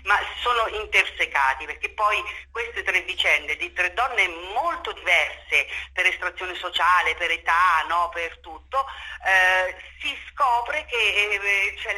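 A woman's voice: on the low side, pushed and bright, rough recording. A woman speaking spontaneously and emphatically as an interview guest, repeating words and tagging phrases with "no?". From Marche, Italy. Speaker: theatre director, interview guest.

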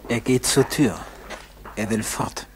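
A man narrating a radio play solemnly, in a measured way with dramatic pauses. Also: on the low side, slight background noise.

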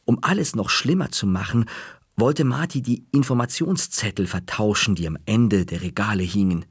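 A man narrating an audiobook in a measured, even storytelling manner, recorded in a studio. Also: fairly low pitch, husky, no echo.

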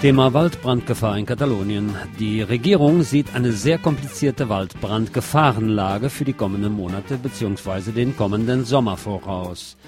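A man's voice: lowish voice, moderate pace, hardly any pauses, a little mellow. Male radio news presenter over music. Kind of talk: scripted news reading with informal asides.

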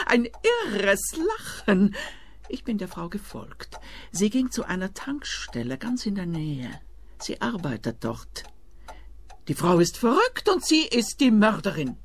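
An actress playing a shrewd, insistent widow with emphatic, theatrical delivery in a radio play. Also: female voice, medium pitch, sing-song, slow, full character voice. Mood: insistent, conspiratorial. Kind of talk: German-language radio play acting.

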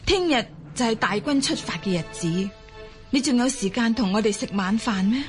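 A woman's voice: a little high, expressive, normal speed, soft background music, natural voice. A woman playing a khan's resolute middle-aged wife in a Cantonese radio drama, formal and insistent. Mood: respectful, dutiful.

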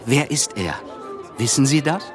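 An actor playing a reflective, observant young man who narrates a literary radio play in a measured, polite manner. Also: mid-range voice, lively intonation, music in the background, natural voice. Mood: polite, curious.

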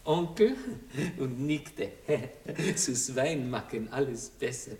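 A man's voice: medium pitch, takes it slowly, a little mellow, reverberant, recorded from a distance, lightly acted. An actor playing a scheming Italian intriguer who offers his spying services in broken speech with an Italian accent, in a 1960s radio drama. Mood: wheedling. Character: scheming Italian male intriguer, fawning spy for hire.

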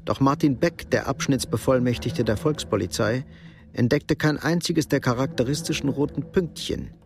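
A man's voice: mid-range voice, normal speed. A man reading scripted narration for a radio drama. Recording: clear background noise.

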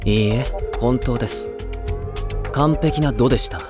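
An actor playing a calm, polite teenage boy with a dry, teasing wit in an anime drama CD. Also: mid-range voice, a little slow, over music, lightly acted. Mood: deadpan.

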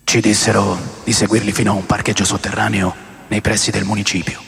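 An actor playing a restless, world-weary man who recounts wild rock-and-roll memories in a gravelly, theatrical radio drama narration. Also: lowish voice, little pitch movement, brisk, fairly bright tone. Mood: low, reflective. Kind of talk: dramatic first-person scripted narration. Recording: long studio reverb under music. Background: music.

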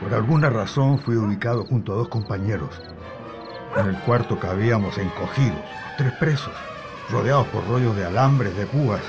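An actor playing a former political prisoner recalling his captivity in halting first-person radio testimony. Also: fairly low pitch, close to an everyday voice. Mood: reflective, sombre. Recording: radio drama with loud music behind.

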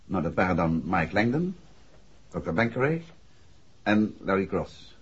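Low-voiced, composed radio detective. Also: male voice, big pitch swings, a little slow, breathy edge, full character voice. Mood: calm explaining. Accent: Netherlands Dutch. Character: shrewd, composed male amateur detective explaining a solved case. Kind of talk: 1960s Dutch radio drama acting. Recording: muffled, noisy 1960s radio recording.

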